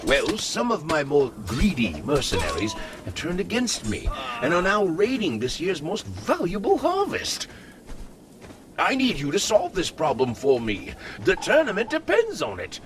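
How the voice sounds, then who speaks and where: A man playing a pompous, theatrical lord in a medieval fantasy game, with grandiose, drawn-out, florid delivery. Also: fairly high pitch, huge pitch swings, a bit bright, a little hiss or hum, heavily acted. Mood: pleased, scheming. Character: pompous, theatrical powerful lord and tournament host.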